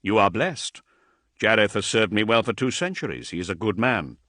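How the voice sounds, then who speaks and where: A man's voice: on the low side, animated, normal speed, bright, forceful tone, dry recording, studio quality. A man voicing a grave vampire warlord. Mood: commanding. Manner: grave, commanding. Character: ancient vampiric warrior lord, stern commanding man.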